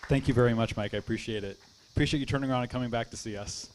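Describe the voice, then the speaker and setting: A man emcees an outdoor event, talking quickly as he hands off to the next speaker. He sounds a bit far from the mic, with faint outdoor noise around. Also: medium pitch. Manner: brisk, introduces speakers. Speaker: master of ceremonies.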